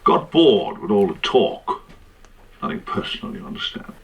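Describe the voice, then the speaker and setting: A man's voice: lowish voice, big pitch swings, normal speed. An actor playing a boozy, flippant British man telling his story under questioning in a radio drama, wry, clipped and theatrical. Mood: intense, remembering.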